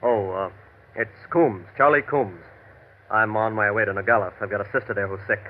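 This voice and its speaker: Actor as shifty western drifter, lowish voice, rough n folksy, suspicious, old hissy radio play. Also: male voice, normal speed, close to an everyday voice. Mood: wary. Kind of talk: old-time radio drama acting. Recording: noisy, tinny vintage radio recording.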